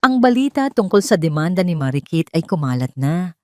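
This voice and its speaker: Low-voiced woman narrating a drama. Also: very animated, dark tone, no room sound, studio quality. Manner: measured, emotional storytelling. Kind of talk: scripted audio drama narration.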